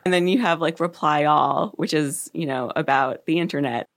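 Deep-voiced woman, thoughtful interview guest. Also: moderate pace. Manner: thoughtful, laughs easily. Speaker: podcast host, guest. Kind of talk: conversational interview.

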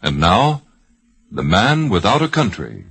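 A man's voice: sits low, sing-song, measured pace, gravelly. A man announcing a programme in a formal, grand old-time radio manner from a scripted introduction. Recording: vintage radio broadcast recording.

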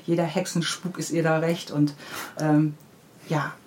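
Low-voiced woman chatting in an interview, background noise. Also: level tone, a little slow, a little mellow. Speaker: interviewed children's book author. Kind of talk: casual interview, explaining and storytelling. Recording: clearly audible background noise.

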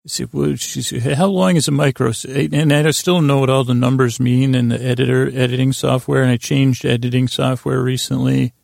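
A man hosting a sleep podcast with a meandering, whimsical monologue, halting often with stammers, repeats and long pauses. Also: medium pitch, little pitch movement, creaky, no room sound, studio quality. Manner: gently whimsical, wandering off on tangents. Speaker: podcast host. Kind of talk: rambling bedtime monologue to lull listeners to sleep.

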